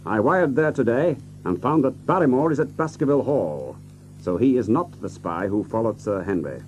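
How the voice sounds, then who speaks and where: An actor playing a commanding, sharp-witted gentleman detective in an audio drama, authoritative, incisive and theatrical. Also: mid-range voice, animated, moderate pace, lo-fi sound, slight background noise, close to an everyday voice. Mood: musing.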